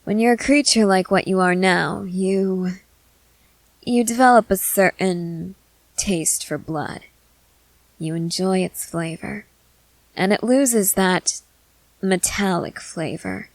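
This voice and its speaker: A woman's voice: medium pitch, slow pace, creaky, no room sound, low-quality recording. A woman playing a confident, experienced vampire who coaxes and reassures in a matter-of-fact way, in an ASMR roleplay. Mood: coaxing, savoring. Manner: matter-of-fact, coaxing, assured.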